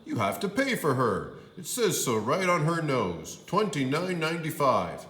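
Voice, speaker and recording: A man voicing blunt, excitable men at a store in a storybook. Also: fairly high pitch, normal speed, reverberant, pitched above the performer's own voice. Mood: insistent.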